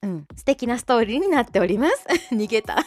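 A woman's voice: a little high, sing-song, quick pace. A woman hosting a casual livestream, chatting and making announcements in a bubbly, giggly manner while reacting to listeners. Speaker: host of a short audio livestream, amateur voice-drama club member. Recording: soft music bed under voice. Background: soft background music.

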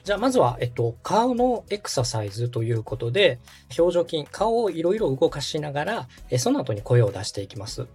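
Animated, husky-voiced man coaching. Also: a little high, fairly quick, few pauses, faint music behind. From Osaka, Japan. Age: 30s. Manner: encouraging, polite. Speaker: podcast host and radio director coaching vocal warm-ups. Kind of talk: conversational step-by-step instruction.

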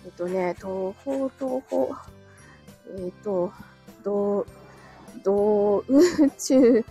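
A woman's voice: medium pitch, extremely slow, warm tone, soft background music. A woman chatting casually and politely with viewers on a livestream, laughing often, a little breathless as she walks uphill while recovering from illness. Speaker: livestream host. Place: outdoors, walking on narrow hilly street.

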